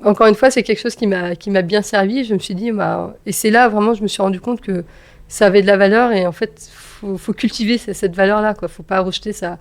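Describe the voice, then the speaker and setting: A woman in her thirties tells personal stories conversationally as an interview guest, with halting phrasing and repeated words. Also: medium pitch. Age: 30s. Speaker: podcast guest, engineer and manager.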